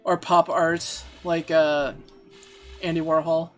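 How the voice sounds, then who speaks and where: A man's voice: fairly high pitch, little pitch movement, slow, a bit bright. A man streaming a game from home, chatting casually and self-deprecatingly, laughing easily, with faint music behind. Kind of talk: casual chat while playing and reading chat.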